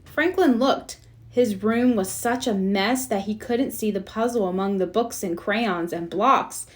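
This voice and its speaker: A woman narrating a children's storybook aloud in an expressive read-aloud style. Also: mid-range voice, unhurried. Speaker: storybook reader.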